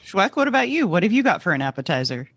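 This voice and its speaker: A woman's voice: medium pitch, big pitch swings, fast talker, dry recording. A woman chatting casually and wryly as a podcast co-host. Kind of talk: casual wry panel chat.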